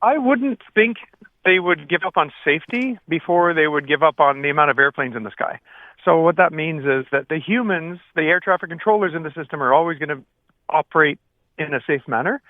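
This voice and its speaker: A phone-like, narrow line carries an older man with a slightly high voice. He is plain-spoken and halting, rewording his sentences as he explains things to an interviewer, with little room sound. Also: no room sound. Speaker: retired air traffic controller, interview guest. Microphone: phone call.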